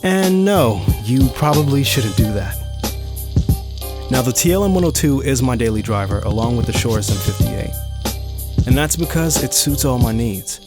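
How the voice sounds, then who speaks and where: A man's voice: mid-range voice, expressive, moderate pace, loud music behind the voice. A man giving a casual, chatty product review, talking informally to viewers on a close studio condenser microphone in a home studio. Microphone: close large-diaphragm studio condenser microphone.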